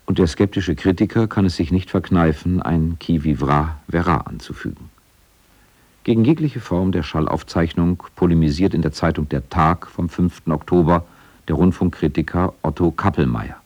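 A man's voice: low-pitched, normal speed, darkish tone, no room sound, muffled recording. A man narrating a scripted radio documentary.

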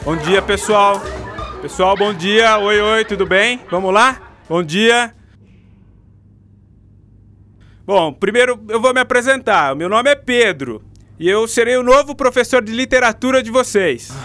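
A man's voice: high-pitched, animated, normal speed, pushed and bright, soft background music. A man playing a patient, engaging literature teacher in a Brazilian radio drama, coaxing and explaining as he goes. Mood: friendly, firm. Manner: didactic, coaxing. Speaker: actor.

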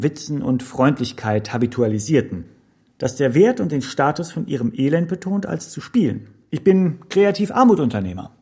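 Casting: pushy trainer-salesman, husky and highly animated, sloganeering radio-drama monologue. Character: pushy male motivational trainer pitching begging as business.

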